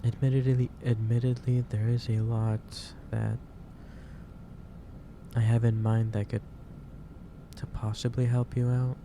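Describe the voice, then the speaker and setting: A man playing a gentle, caring office boss in an ASMR roleplay, speaking haltingly with repeated phrases over a noisy background. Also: medium pitch, level tone, natural voice. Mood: gently concerned. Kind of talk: soft-paced ASMR roleplay monologue. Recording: clearly noisy background hiss or ambience.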